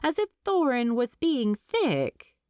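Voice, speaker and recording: A woman's voice: fairly high pitch, huge pitch swings, slow pace, a little mellow, smooth, no echo, studio quality. A woman reading a story aloud as a scripted audiobook narration.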